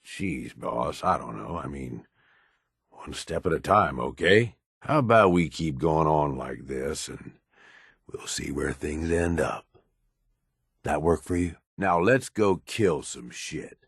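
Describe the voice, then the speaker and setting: An actor playing a cynical, gruff middle-aged raider in a video game, with an American accent and a foul-mouthed, streetwise, sardonic delivery. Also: male voice, a bass voice, animated, takes it slowly, no room sound, clean studio sound, exaggerated voice. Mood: dry, skeptical. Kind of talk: video game character dialogue.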